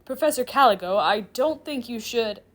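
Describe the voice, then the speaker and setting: A woman's voice: mid-range voice, moderate pace, close to an everyday voice. Woman doing an easygoing old demon chairman grandfather. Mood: pleading. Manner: easygoing, playful, commanding when pushed.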